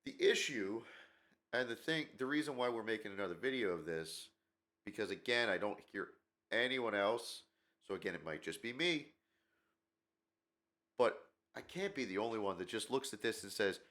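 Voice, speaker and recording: A man's voice: on the low side, lively intonation, normal speed, fairly bright tone. A man hosting a gaming channel, talking in a rambling, opinionated way with lots of fillers, his nose stuffed up from a cold. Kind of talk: casual commentary video.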